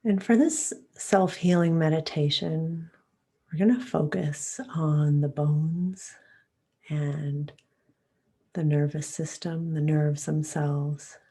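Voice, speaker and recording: A woman leading a guided meditation in a soft, calm, soothing and unhurried way, with long pauses and breath at the ends of phrases. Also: sits low.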